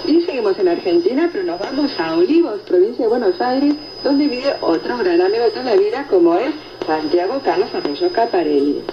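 A woman's voice: mid-range voice, animated, fairly quick, hardly any pauses. Woman radio host on noisy shortwave. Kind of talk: reading and answering listener reports, conversational radio presenting. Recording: noisy shortwave radio reception, muffled, echoey. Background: loud static noise.

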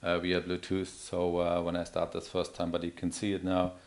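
A man's voice: low-pitched, completely flat delivery, moderate pace. A man presenting an unscripted product demonstration in a hesitant, explanatory way. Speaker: product demonstrator, account manager. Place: indoor office or showroom.

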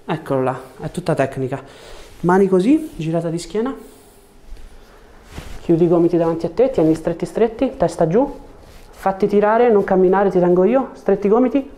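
A man in his thirties speaking as a chiropractor, giving short, gentle, reassuring instructions to a patient in a calm clinical way. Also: high-pitched, normal speed. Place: indoor chiropractic office. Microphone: lapel mic. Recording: faint background room noise.

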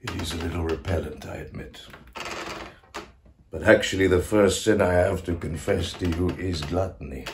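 An actor playing an aged, grandiose Italian composer near death, confiding in a theatrical stage monologue with a creaky voice and self-mocking manner. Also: male voice, low voice, moderate pace, background noise, natural voice. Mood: hushed, sheepish. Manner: theatrical, declamatory, swinging to hushed asides.

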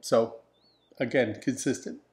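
A man's voice: mid-range voice, fairly even intonation, a little slow, darkish tone. A man in his forties talking in an easygoing, rambling, joking way on a home vlog from his couch. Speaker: vlog host. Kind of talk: casual chat. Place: living room, on a couch. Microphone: camera in front.

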